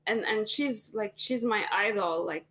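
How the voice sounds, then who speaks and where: A woman in her twenties talks as a guest on a casual podcast. Her voice sits at an ordinary middle pitch. She rambles in a friendly way, full of fillers and restarts. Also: measured pace, a bit bright. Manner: chatty, many fillers and restarts. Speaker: singer-songwriter guest.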